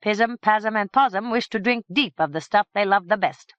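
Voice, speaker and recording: A woman voicing a fussy, fastidious old knight in a storybook reading, slow and particular. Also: mid-range voice, fairly bright tone, dry recording, pitched above the performer's own voice, natural voice. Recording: dull dry lo-fi recording.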